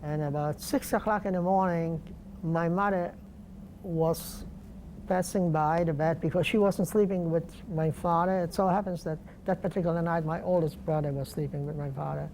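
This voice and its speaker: An older man from Poland, speaking slowly and deliberately with pauses in an oral history interview. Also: a little high, fairly even intonation, a little mellow, slight background noise, rough recording. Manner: slow, deliberate, halting with pauses.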